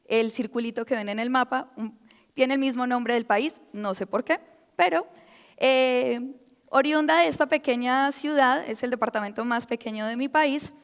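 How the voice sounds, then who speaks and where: A woman's voice: a little high, normal speed, smooth. A young woman from Colombia with a Colombian accent, a researcher giving a friendly public talk with frequent hesitations. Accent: Colombian Spanish. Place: lecture hall. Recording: reverberant hall.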